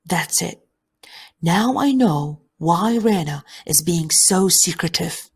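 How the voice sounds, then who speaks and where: A woman's voice: fairly low pitch, expressive, takes it slowly. A woman reading the part of an eager, curious girl at summer camp in a play, with breezy, blunt phrasing. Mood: musing. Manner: casual, breezy, inquisitive phrasing.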